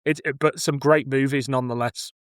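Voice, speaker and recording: A man's voice: mid-range voice, steady tone, brisk, dry recording, clean studio sound. A man chatting casually about films as a podcast co-host. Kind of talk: casual film discussion chat.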